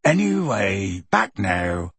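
A huge, gruff half-giant from a storybook. He is tender and awkward, talking in halting, homely phrases. The sound is clean and close, with very little room. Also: medium pitch, sing-song, fairly bright tone, no room sound, full character voice. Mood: hesitant concern. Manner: hesitant, halting, rustic colloquial phrasing.

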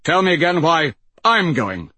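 Man playing a nervous, reluctant freed slave, husky. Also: fairly high pitch, very animated, measured pace, sharp tone, no echo, pitched above the performer's own voice, exaggerated voice. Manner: nervous, questioning.

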